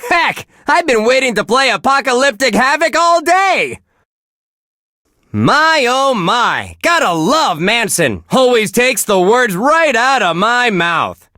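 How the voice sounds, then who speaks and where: An actor playing a relentlessly cheerful, wholesome radio DJ in a video game, gushing with folksy exclamations. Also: male voice, extremely high pitch, big pitch swings, takes it slowly, sharp tone, no echo, studio quality, heavily acted. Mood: excited, gushing. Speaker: radio DJ host.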